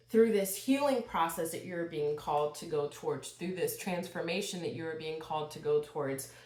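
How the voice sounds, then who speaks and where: Low-voiced woman reading tarot, echoey room. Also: darkish tone. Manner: slow, spiritual, addresses viewers directly. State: slight cold, sniffling. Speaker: tarot reader.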